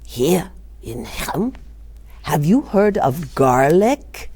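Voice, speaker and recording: A woman voicing a humble, sly male wanderer in a storybook, coaxing and hushed with feigned innocence. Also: on the low side, very animated, very slow, slightly rough, exaggerated voice.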